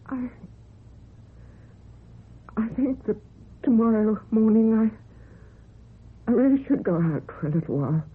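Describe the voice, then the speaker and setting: An actress playing a frail, submissive granddaughter in a radio drama, speaking timidly and haltingly. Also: female voice, on the high side, husky. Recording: tinny, noisy old radio recording.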